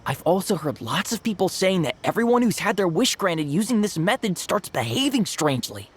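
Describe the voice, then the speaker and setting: An actor playing a skeptical, blunt and exasperated friend in an anime game. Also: male voice, high voice, big pitch swings, a little fast, slightly rough, full character voice. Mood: cautioning.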